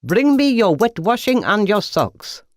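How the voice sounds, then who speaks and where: A woman voicing an excitable, kind radiator who calls out dramatically in a children's storybook. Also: medium pitch, measured pace, voice pushed up. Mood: eager calling. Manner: exclamatory, dramatic calling out.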